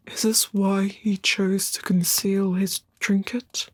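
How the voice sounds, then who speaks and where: An actress playing a secretive, brooding collector, delivering a measured, theatrical monologue in an audio drama. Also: female voice, medium pitch, no echo. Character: brooding, secretive female collector musing on stories.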